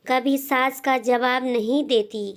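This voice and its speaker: A woman narrating a scripted moral story as a storyteller, in deliberate phrases with frequent pauses. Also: high voice, steady tone.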